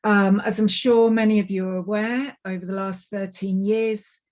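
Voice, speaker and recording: A woman giving an online presentation on a video call, her voice a little croaky and tired, chatty and apologetic with lots of ums and restarts. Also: mid-range voice, steady tone, moderate pace, a little mellow. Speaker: climate project manager giving a webinar talk. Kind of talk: online presentation, loosely scripted. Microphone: computer mic on a video call.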